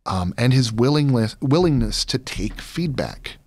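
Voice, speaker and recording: Male podcast guest, thirties, conversational, medium-pitched, recorded dry in a studio. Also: lively intonation, measured pace, darkish tone, dry recording. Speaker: podcast guest, voice actor and game master. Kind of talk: casual interview chat. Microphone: close studio mic on boom arm, headset monitoring.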